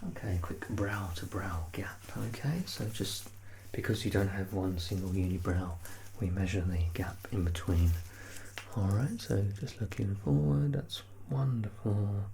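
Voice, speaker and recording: An ASMR performer speaking in a soft, unhurried murmur that slips into breathy whispers, reassuring and gently playful in a personal-attention roleplay. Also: low voice, steady tone, rough recording. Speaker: ASMR performer playing a measurer.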